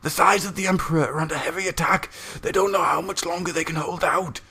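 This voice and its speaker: A frantic male psychic from a sci-fi storybook. The voice is high, loud and strained, labouring through desperate battle warnings. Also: steady tone, quick pace, husky, heavily acted. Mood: urgent, strained. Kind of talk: dramatic science-fiction storybook character voice.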